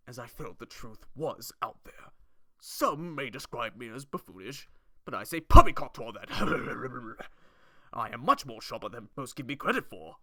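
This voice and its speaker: A man's voice: mid-range voice, bright, forceful tone, no room sound. A man voicing a friendly, buffoonish red dragonborn sorcerer who speaks in a grandiose, formal and theatrical manner. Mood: jovial, boastful.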